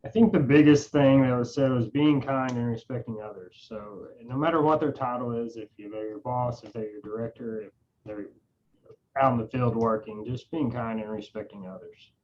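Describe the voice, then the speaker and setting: A man's voice: mid-range voice, moderate pace, a little mellow. A man on a panel from a small agency, giving plain advice that trails off at sentence ends, over a video call. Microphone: video call, away from the mic. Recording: distant dull call audio with faint noise.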